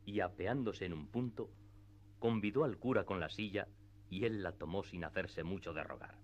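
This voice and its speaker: A man's voice: deep voice, normal speed, dull audio. A man narrating a classic literary tale as the scripted narrator of a radio theatre piece.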